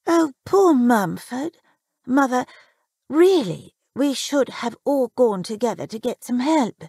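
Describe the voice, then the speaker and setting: A woman voicing a polite, anxious, excitable young daughter in a proper, exclamatory manner for a story. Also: fairly high pitch, slow pace, vocal fry, breathy edge, no echo, studio quality, heavily acted. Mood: distressed.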